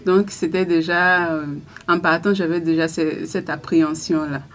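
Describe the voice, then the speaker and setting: A young woman radio journalist answering interview questions in a small radio studio, thoughtful, with frequent pauses and restarts. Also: fairly low pitch, level tone. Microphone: studio microphone on a stand.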